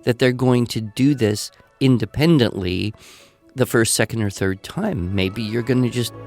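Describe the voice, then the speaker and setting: A man speaking conversationally as a podcast expert, explaining advice in a measured way with emphatic repetition. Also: medium pitch, darkish tone, soft background music. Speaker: podcast expert answering listener questions.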